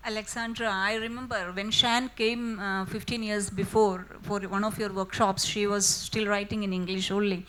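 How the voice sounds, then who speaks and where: A woman moderating a literature festival panel, asking quick, informal questions with frequent restarts. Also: mid-range voice, little pitch movement, fairly bright tone, slight background noise, rough recording. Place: festival stage.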